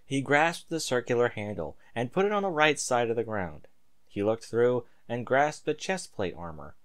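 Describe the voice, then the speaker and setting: A man's voice: medium pitch, sing-song, moderate pace, fairly bright tone. A man reading a story aloud in a theatrical, emphatic amateur narrating style.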